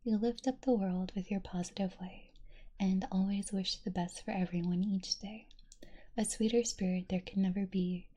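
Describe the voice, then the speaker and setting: A young woman streamer whispering softly and breathily as she reads chat quotes, giggling often between them. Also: medium pitch, fairly even intonation, normal speed.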